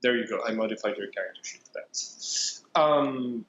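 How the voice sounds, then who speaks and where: A man running a tabletop game as game master, narrating the scene in a measured, deliberate way with many pauses. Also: on the low side, a bit bright, muffled recording, a little hiss or hum. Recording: echoey room.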